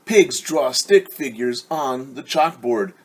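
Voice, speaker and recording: A man's voice: medium pitch, animated, slow pace, breathy edge. A man voicing a stern, unbending school principal in a storybook, firm and scolding in manner. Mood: firm refusal.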